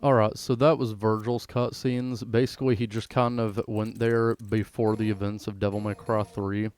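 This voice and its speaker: A man's voice: on the low side, flat delivery, moderate pace, a little mellow, clear, resonant tone, no room sound. A man hosting a gaming video with casual, laid-back, informal commentary.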